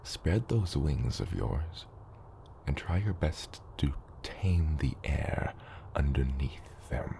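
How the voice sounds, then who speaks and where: A man voicing a gentle, fatherly mountain dragon in a soft ASMR roleplay, patient and measured, with formal wording and hesitant asides. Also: lively intonation, exaggerated voice. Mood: calm, guiding. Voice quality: gravelly, very deep. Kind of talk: ASMR roleplay, soft slow scripted monologue. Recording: roomy long cave-like reverb, faint noise.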